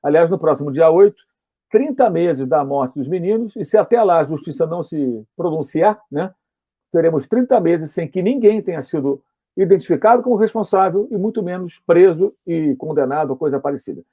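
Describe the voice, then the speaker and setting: The host of a live stream is a man with a high, warm, husky voice. He keeps a steady, conversational pace throughout. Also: brisk.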